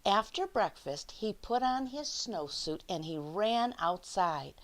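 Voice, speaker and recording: A woman storyteller reading a picture book aloud to children, expressively, stretching key words, dropping into hushed asides and putting questions to young listeners. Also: mid-range voice, lively intonation, takes it slowly, slight background noise.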